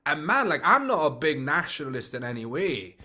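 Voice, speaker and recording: A man from Romania, a native Hungarian speaker, hosting a casual interview in a friendly, relaxed way with some hesitations. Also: fairly high pitch, brisk. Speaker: podcast host.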